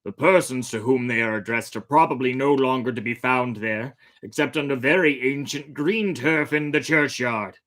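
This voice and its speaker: A reader voicing a tense, firm male ship captain who speaks in a measured, grave way. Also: mid-range voice, a bit bright, voice pushed up, lightly acted.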